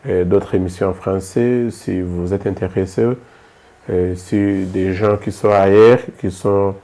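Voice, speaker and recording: A man's voice: sits low, steady tone, unhurried, warm tone. A man from Rwanda with a Rwandan accent, hosting an interview in a hesitant way, with many fillers. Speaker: host.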